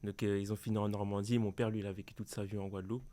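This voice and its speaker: A young man on a round-table podcast, talking in a hesitant way with many pauses. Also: on the low side, little pitch movement, warm tone. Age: young adult. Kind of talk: casual round-table chat.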